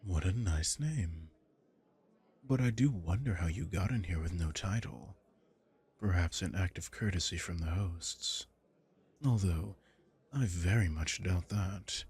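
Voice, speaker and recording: A man voicing a suave aristocratic male vampire lord in an ASMR roleplay, smooth and teasing. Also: low voice, a little slow, a little mellow, heavily acted. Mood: smooth menace.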